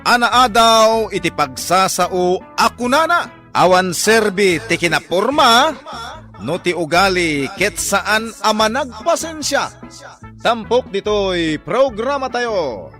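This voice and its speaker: A man announcing a radio drama program in a drawn-out, emphatic way. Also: high-pitched, expressive, pushed and bright, faint music behind, heavily acted.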